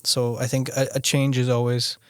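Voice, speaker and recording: A young man who grew up in Austria chats as a guest on a podcast, his speech halting and full of restarts. His voice sits at a middle pitch for a man and is recorded close and dry, with no room sound. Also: monotone, clear, resonant tone, no echo. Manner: halting, restarts often, leans on "you know". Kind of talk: casual conversational interview.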